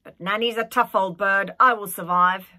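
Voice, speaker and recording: A woman's voice: mid-range voice, fairly even intonation, moderate pace, pushed and bright, slight background noise, natural voice. A woman voicing a plump, cheery old nanny in her eighties who fusses and scolds fondly with emphasis. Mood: indignant, plucky. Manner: fussing, emphatic, scolding affectionately.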